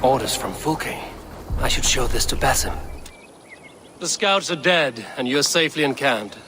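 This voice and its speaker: An actor playing a bold, determined young Viking leader in a video game, resolute and formal in speech. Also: male voice, medium pitch, expressive, a little slow, background noise, close to an everyday voice. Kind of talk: dramatic video game acting.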